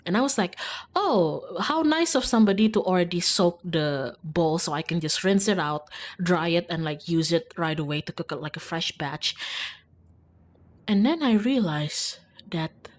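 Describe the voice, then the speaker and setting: A woman's voice: on the low side, lively intonation, moderate pace. A young woman from Indonesia, streaming and telling a rambling story about share-house life, full of "like" and restarts. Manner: rambling, filler-heavy, lots of "like". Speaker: VTuber streamer. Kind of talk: casual storytelling while gaming.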